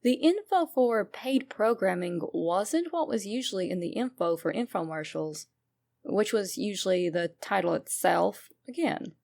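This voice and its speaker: Female narrator for creepy internet fiction: noticeable vocal fry, emphatic and wry storytelling. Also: mid-range voice, expressive, measured pace, darkish tone. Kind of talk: dramatic first-person horror story reading.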